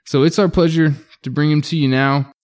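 A man's voice: on the high side, steady tone, fairly quick, darkish tone. A man hosting a podcast, introducing the episode and welcoming a guest in an upbeat, enthusiastic way. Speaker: podcast host. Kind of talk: scripted episode intro and interview questions.

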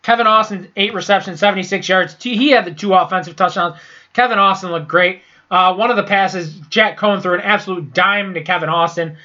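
A young man with a high, bright, edgy voice, stuffed up from a sinus infection. He rambles through a casual sports recap, blunt and profane, with a slightly muffled recording. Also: a little fast. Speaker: sports podcast host.

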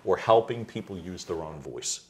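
Loud middle-aged guy, voice coach chatting at home, lowish voice, laughs a lot. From United States. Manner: loud, animated storyteller with quick laughs. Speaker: guest, executive voice coach. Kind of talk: conversational interview. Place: his home.

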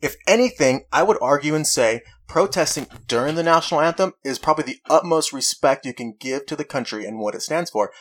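A man's voice: fairly high pitch, animated, brisk, hardly any pauses. A young man hosting a casual talk show, earnestly arguing his point and reading quotes aloud. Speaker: podcast host. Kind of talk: casual debate chat.

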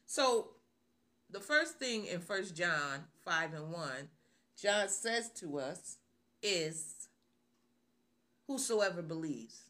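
A woman's voice: lowish voice, sing-song, extremely slow, long pauses, sharp tone. A middle-aged woman preaching on the radio, opening with prayer and then asking rhetorical questions and repeating phrases.

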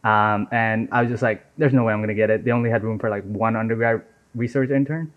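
A man in his twenties from Nepal, chatting casually as an interview guest. He talks in a relaxed, easy way, often dropping "right?" and "man" into his sentences. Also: lowish voice, fast talker. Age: 20s. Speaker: software engineer guest. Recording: dull lo-fi audio.

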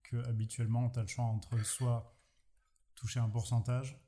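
A man co-hosting a relaxed podcast. He talks in a middling pitch and stops often to find his words. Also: normal speed. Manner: hesitant, many fillers. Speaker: podcast co-host. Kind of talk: casual chat.

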